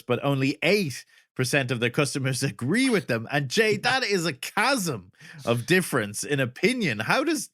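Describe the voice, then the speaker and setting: A man's voice: medium pitch, a bit bright, no room sound, clean studio sound. A man hosting a podcast interview, putting questions conversationally with somewhat tentative phrasing.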